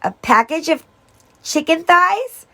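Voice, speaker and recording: A high-pitched young girl played by a woman reader, the practical big sister of a storybook. She complains insistently and takes her time over each grievance. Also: animated, slow pace, sharp tone, full character voice. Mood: exasperated.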